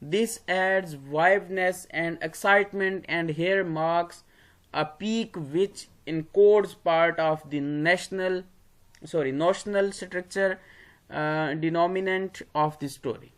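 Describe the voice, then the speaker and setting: Halting teacher with a Pakistani accent. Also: medium pitch. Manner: halting, repeats phrases. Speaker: English composition teacher. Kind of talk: lecture, reading slides aloud and explaining to students.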